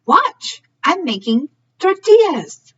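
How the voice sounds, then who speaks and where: A woman's voice: extremely high pitch, very animated, slow pace, recorded from a distance, highs cut off, voice pushed up, heavily acted. A woman voicing a kindly village woman in a storybook, patiently explaining things simply to a child. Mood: busy, friendly.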